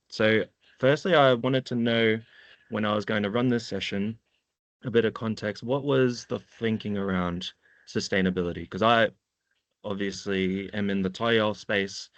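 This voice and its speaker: A man's voice: fairly low pitch, dry recording, lo-fi sound. A man with a New Zealand accent giving an informal slide presentation, laid-back and slangy in his way of talking. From central North Island, New Zealand. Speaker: webinar presenter, environmental data worker.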